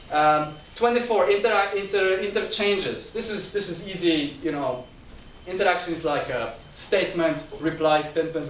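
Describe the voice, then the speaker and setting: A man's voice: on the high side, slight background noise. A man giving a talk at a discussion group, working through numbered points with frequent restarts and repeated words. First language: not Chinese. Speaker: guest speaker. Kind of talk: lecture-style talk. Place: talk venue, indoors. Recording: echoey room, mic at a distance.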